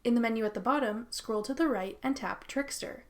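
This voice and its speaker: A woman's voice: a little high, brisk. A woman narrating a scripted how-to tutorial as its host.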